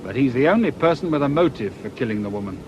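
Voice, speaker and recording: An actor playing a shrewd British police inspector in a radio drama, with a dry, colloquial, knowing manner. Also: male voice, expressive, fast talker, highs cut off, lightly acted. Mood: assured, wry. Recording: noisy outdoor ambience, vehicle rumble.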